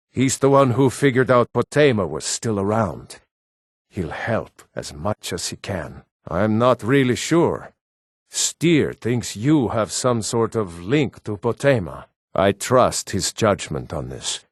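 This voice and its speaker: Nord townsman, fantasy game dialogue, low-pitched, earnest, measured, formal, dry recording. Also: no room sound. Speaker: video game voice actor.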